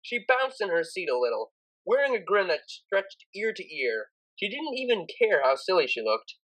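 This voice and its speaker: A woman reading a scripted story aloud as an expressive audiobook narrator. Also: medium pitch, moderate pace, low-quality recording.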